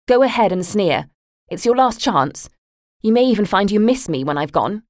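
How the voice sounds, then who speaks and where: A woman's voice: medium pitch, very animated, moderate pace, vocal fry, no echo, clean studio sound, pitched above the performer's own voice. A woman voicing a furious young woman storming out of home, defiant. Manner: defiant and heated.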